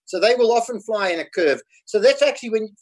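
A man's voice: high voice, animated, quick pace, a little mellow. A South African man in his fifties, a guest in casual conversation, explaining things patiently and laughing easily. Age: 50s. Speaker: podcast guest.

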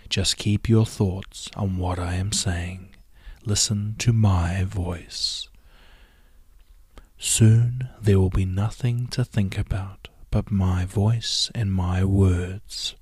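A man guiding a hypnosis and relaxation session in a soft, soothing, often half-whispered delivery. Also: on the low side, slightly rough, no room sound, clean studio sound. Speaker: hypnotherapy guide. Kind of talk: guided hypnosis and relaxation script.

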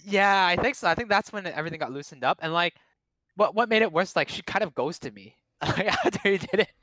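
A man's voice: high voice, lively intonation, fairly quick, sharp tone, no room sound. A man in his late twenties from Canada, a Cantonese speaker, telling rambling, profane, self-mocking stories in a casual chat. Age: 20s. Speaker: guest.

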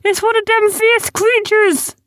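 A woman voicing a small, excitable, easily spooked male creature who speaks in childlike, ungrammatical phrases. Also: mid-range voice, normal speed, dry recording, clean studio sound, pitched above the performer's own voice, natural voice. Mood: panicked.

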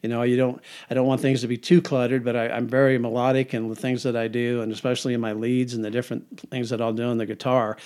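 An older man talks through his songwriting habits in a loose, meandering way. He restarts phrases, repeats words and laughs softly to himself. His voice sits at an ordinary middle pitch. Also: flat delivery, fast talker, hardly any pauses, clear, resonant tone. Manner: rambling, repeats words, chuckles often. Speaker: singer-songwriter guest. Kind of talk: casual interview answers.

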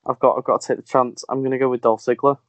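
A man's voice: mid-range voice, level tone, racing, no room sound, dull audio. A man playing a quiz, talking himself through his guesses. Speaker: quiz contestant. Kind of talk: casual quiz game chat.

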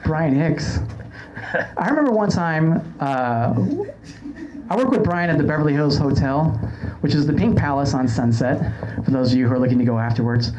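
A man's voice: a little high, normal speed. An American man hosting a podcast, bantering wryly in casual comedic chat. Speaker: podcast host. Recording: muffled audio with background noise.

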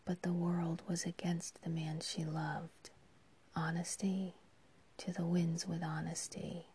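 Woman whispering a story, slow and low, dark mellow tone. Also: flat delivery, breathy edge. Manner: soft, hushed whisper throughout. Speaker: narrator. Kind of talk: whispered audiobook reading.

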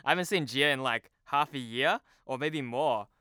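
A man's voice: mid-range voice, moderate pace, pushed and bright, clear, resonant tone, dry recording, clean studio sound. A young man chatting casually as a podcast guest, informal and self-deprecating. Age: young adult. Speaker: podcast guest, TV promo producer. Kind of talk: casual chat. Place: remote video call.

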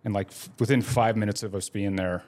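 Man, forties, podcast guest, lowish voice, rambling, filler-heavy, casual storytelling. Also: fairly even intonation, brisk, darkish tone. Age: 40s. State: laid up with a broken leg.

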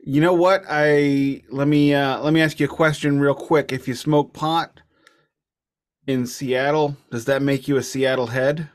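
A man co-hosting a podcast, casually discussing albums in a wry, deliberate way, repeating phrases for emphasis. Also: fairly high pitch, steady tone. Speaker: podcast co-host reviewing albums.

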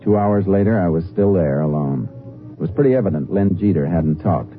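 An actor playing a steady, resourceful Texas Ranger in a 1950s radio drama, speaking in a terse, measured way. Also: male voice, low-pitched, music in the background. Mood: sober narration. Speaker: lead actor and narrator. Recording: tinny, noisy old radio recording.

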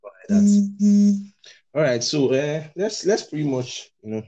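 A man's voice: a little high, lively intonation, slow, warm tone, highs cut off. A Nigerian man with a Nigerian accent hosting a podcast in casual, rambling chat, often repeating words and laughing. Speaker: podcast host.

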